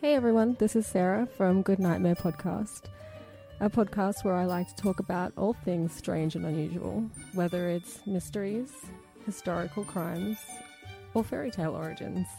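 A woman's voice: fairly low pitch, normal speed, creaky, mellow, soft background music, poor audio. A woman, a podcast host, reading a scripted promo.

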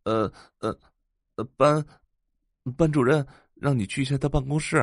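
A man's voice: medium pitch, moderate pace, no echo, clean studio sound, natural voice. Shy, flustered teenage schoolboy played by a man. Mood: shy, nervous. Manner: stammering. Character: shy, flustered teenage boy, a class monitor. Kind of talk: multi-voice audio drama acting.

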